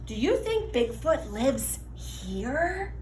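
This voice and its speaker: Woman as a high-voiced, curious young boy cat. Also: very animated, drawn-out words, a little mellow, a little hiss or hum, exaggerated voice.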